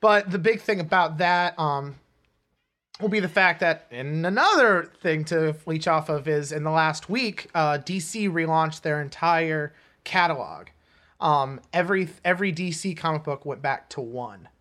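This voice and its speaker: A man's voice: fairly high pitch, unhurried, bright, forceful tone. Rambling man chatting on a podcast. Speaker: podcast co-host. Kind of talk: casual comics and film chat.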